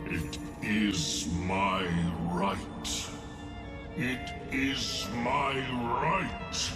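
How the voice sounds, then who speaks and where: An ancient fungal sovereign for a fantasy game, solemn and vengeful. The delivery is ceremonial and drawn out to an extreme, in a low register. The voice echoes in a roomy space under loud music. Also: male voice, animated. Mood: menacing. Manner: slow, ceremonial, incantatory phrasing. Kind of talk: cinematic fantasy video game acting.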